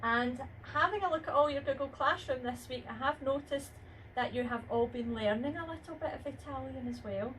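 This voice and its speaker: A woman's voice: high voice, a bit bright. Upbeat woman addressing pupils. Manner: encouraging, upbeat, speaks slowly to children. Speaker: school teacher leading assembly. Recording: distant mic, faint room noise.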